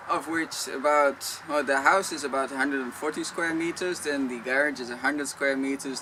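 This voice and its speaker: A man with a Dutch accent answering viewer questions in a casual vlog, explaining things in a plain, matter-of-fact way from outdoors on his land. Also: on the high side. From Netherlands. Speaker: vlogger. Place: outdoors on a rural property, wind and traffic. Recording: noisy outdoor recording with wind and traffic. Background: wind and road traffic.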